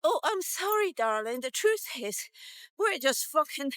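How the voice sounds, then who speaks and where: A woman's voice: sits high, sing-song, unhurried, a bit bright, no echo, voice pushed up, full character voice. A woman voicing an awkward, evasive parent in a told tale, apologetic and blunt with hesitations. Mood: sheepish.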